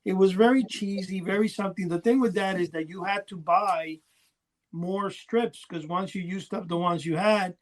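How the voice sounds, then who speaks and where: A man's voice: high-pitched, steady tone, moderate pace. A man talking as a podcast co-host, reminiscing casually in a chatty, rambling way full of small details. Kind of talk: casual rambling reminiscence about old toys.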